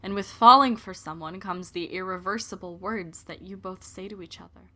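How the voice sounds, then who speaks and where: A woman's voice: medium pitch, normal speed, pushed and bright. A young woman playing an emotionally invested, digressive narrator of a romance in an audio drama. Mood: fond, wistful.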